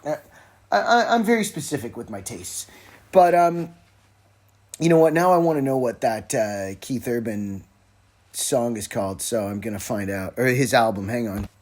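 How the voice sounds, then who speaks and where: A man talks on his own as a podcast host in a casual, rambling way. His voice sits at a middle pitch, and he often breaks off his own sentences for wry little asides. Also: expressive, moderate pace, darkish tone. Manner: rambling, self-interrupting, wry informal asides. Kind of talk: casual solo rambling talk about music. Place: informal solo recording with a phone at hand.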